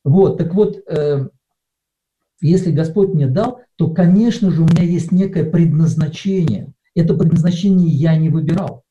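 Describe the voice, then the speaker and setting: A middle-aged man from Russia preaches in a relaxed, conversational way, pausing often with hesitant fillers. His voice sits a little high and sounds very mellow and dark. The recording is dull and lo-fi. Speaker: pastor. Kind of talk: sermon.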